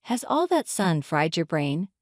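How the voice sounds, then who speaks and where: A woman's voice: lowish voice, big pitch swings, measured pace, no room sound, clean studio sound. Indignant, hurt wife, acted by a woman. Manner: confrontational, pleading. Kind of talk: dramatized story reading.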